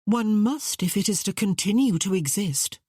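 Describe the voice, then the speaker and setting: A bold, idealistic young woman in a historical novel, performed by a woman narrator. She sounds earnest and impulsive, with a raised pitch and very lively intonation. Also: normal speed, breathy edge, no echo, studio quality. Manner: earnest, blurting.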